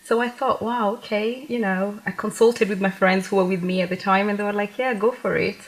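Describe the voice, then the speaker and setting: A woman's voice: mid-range voice, steady tone, brisk, rough recording. A young woman from Kenya chatting casually as an interview guest, telling anecdotes and acting out little quotes. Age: young adult. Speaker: interview guest, pageant queen. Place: TV talk show studio, indoors on a sofa.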